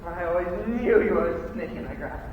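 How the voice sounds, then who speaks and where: A teenage student actor playing a blustering, indignant upper-class gentleman in a melodramatic stage farce rehearsal. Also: high-pitched, lively intonation, brisk, lo-fi sound, a little hiss or hum. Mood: outraged. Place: large indoor high school rehearsal space. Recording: very echoey hall, distant mic.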